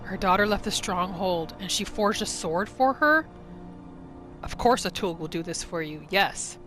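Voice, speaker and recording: A woman gives casual roleplay commentary on a video game. Her pitch moves around in a lively way as she chats and muses, with music clearly behind her. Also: unhurried. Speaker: gaming video host.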